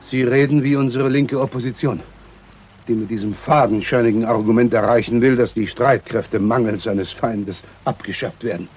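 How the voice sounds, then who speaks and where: Casting: male actor for fussy government official, radio play, markedly husky voice, stiffly formal and deferential to superiors. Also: medium pitch, normal speed, darkish tone, natural voice. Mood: officious, suspicious. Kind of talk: 1960s German radio play acting.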